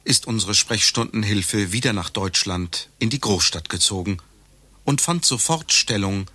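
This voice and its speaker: An actor narrating a satirical radio play as a matter-of-fact storyteller with a husky voice. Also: male voice, lowish voice, measured pace, pushed and bright, dry recording, heavily acted.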